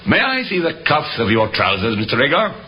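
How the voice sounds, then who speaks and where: An actor playing a methodical police inspector, formal and measured, in a 1950s radio drama. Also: male voice, medium pitch, huge pitch swings, pushed and bright. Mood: probing. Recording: dull, noisy vintage radio recording.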